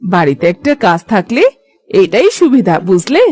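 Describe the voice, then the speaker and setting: A woman's voice: medium pitch, very animated, normal speed, a little mellow, husky. A woman playing an older village mother-in-law in a cartoon, a practical, affectionate matriarch with a motherly, matter-of-fact way of talking. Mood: practical, advising. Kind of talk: animated moral story acting.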